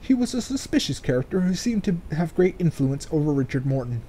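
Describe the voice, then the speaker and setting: A man's voice: fairly high pitch, big pitch swings, fairly quick, warm tone, a little hiss or hum. A man doing casual game commentary and reading game text aloud, sardonic and free with swearing. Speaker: let's player.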